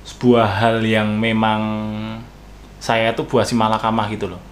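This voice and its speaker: A man from outside the capital with a thick Javanese-tinged Indonesian accent, chatting casually and thoughtfully, often pausing on fillers. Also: fairly low pitch, level tone. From Indonesian regions outside Jakarta. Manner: thoughtful, hesitant, many fillers. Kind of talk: casual chat. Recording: faint background noise.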